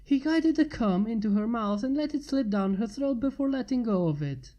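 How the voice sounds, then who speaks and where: Mellow-voiced woman reading a story aloud. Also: a little high, animated, normal speed. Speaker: fan fiction reader. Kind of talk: narrated story reading of explicit adult fan fiction.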